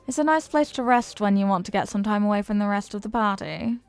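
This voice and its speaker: A woman's voice: mid-range voice, fast talker, dry recording, natural voice. A woman playing a flirty, confident, playful stranger in an intimate roleplay, with a teasing, coy, sweet-talking manner. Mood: warm, inviting. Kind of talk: intimate roleplay audio acting.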